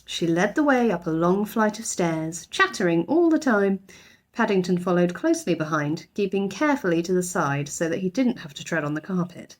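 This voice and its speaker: A woman's voice: lowish voice, big pitch swings, normal speed. A woman reading a children's story aloud as scripted narration. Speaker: storybook reader, teacher.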